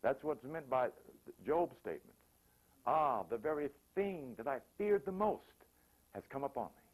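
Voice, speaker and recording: A man's voice: mid-range voice, lively intonation, moderate pace, rough recording. A man giving an informal, sermon-like motivational talk, repeating phrases emphatically and acting out examples. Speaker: motivational spiritual speaker.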